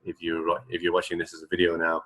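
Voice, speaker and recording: Low-voiced man hosting a casual radio chat. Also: monotone, fast talker, pushed and bright. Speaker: radio show host. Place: at home.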